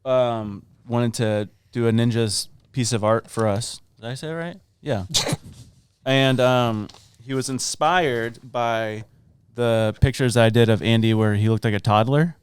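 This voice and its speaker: A man's voice: medium pitch, fairly even intonation, measured pace, clear, resonant tone, no room sound. A young man co-hosting a comedy podcast, joking around casually and breaking into goofy singing and accents. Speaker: podcast co-host.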